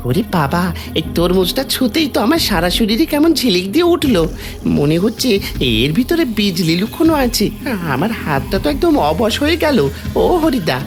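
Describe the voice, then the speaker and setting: A man's voice: high voice, big pitch swings, normal speed. A man playing a grumbling, easily frightened village thief in a cartoon folk tale, whining and exclamatory. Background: background music.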